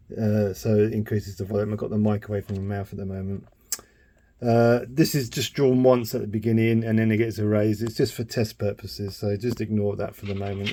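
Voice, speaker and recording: A man giving a casual, rambling demonstration of a homemade device, halting and thinking aloud as he goes. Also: lowish voice, warm tone.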